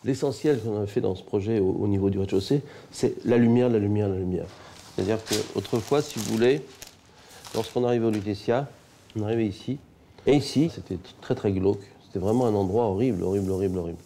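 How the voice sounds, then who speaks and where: A man's voice: lowish voice, fast, warm tone, slight background noise. An older man speaking as an architect in an interview, emphatic and repeating words for effect.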